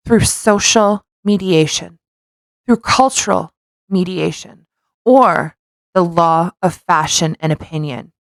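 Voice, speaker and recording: Woman, lowish pitch, dry sound without room echo, podcast co-host, informal delivery. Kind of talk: casual podcast chat.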